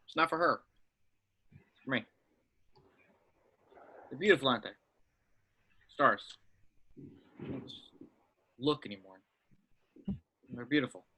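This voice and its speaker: Brief: man as seasoned secret agent, weary and deadpan, few words, crisp forward tone, home script read. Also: mid-range voice, takes it slowly, pauses often, exaggerated voice, poor audio. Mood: wistful, resigned. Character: terse, weary veteran male secret agent. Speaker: amateur actor in a table read.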